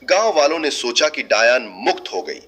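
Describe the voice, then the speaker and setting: A man's voice: medium pitch, very animated, normal speed, a bit bright. A man narrating a scripted horror story in a dramatic storytelling style.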